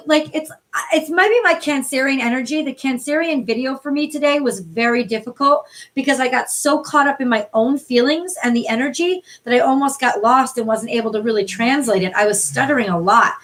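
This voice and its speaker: Hoarse, chatty high-voiced woman. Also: fairly bright tone. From New York City area, USA. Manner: chatty, profane, greets viewers by name. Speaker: tarot card reader hosting a livestream. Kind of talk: casual live-stream chat.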